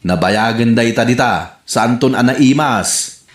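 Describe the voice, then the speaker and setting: A man's voice: mid-range voice, slow pace, reverberant, natural voice. A man with an Ilocano accent acting a nagging, teasing son in a rural comedy radio drama. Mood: coaxing.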